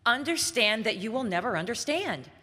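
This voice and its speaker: Bright, forceful woman in an echoing hall. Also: fairly high pitch, sing-song, moderate pace. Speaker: reader of short personal statements. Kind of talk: scripted recitation of short statements. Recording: reverberant hall, clear background noise.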